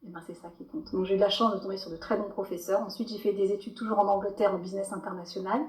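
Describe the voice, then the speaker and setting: A woman with a French accent, a podcast guest telling her story in a loose, animated way with many fillers and restarts. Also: mid-range voice, very fast. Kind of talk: casual podcast interview. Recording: echoey room.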